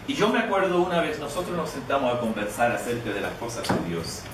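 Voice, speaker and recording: A man's voice: mid-range voice, brisk. Man preaching in an echoey hall. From Canada. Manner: conversational, with many repeated words and restarts. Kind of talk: sermon storytelling. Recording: echoey, distant, noisy room.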